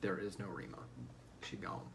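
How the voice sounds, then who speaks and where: A man's voice: moderate pace, fairly bright tone, a little hiss or hum. Casual, profane man hosting a Q&A. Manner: informal, profane, jokey. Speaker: host of a fan Q&A show. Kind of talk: casual chat answering listener questions.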